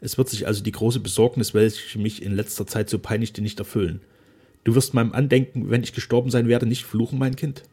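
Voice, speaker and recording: Man playing a remorseful dying old duke, solemn and measured, dark husky voice. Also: on the low side, level tone. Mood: anxious.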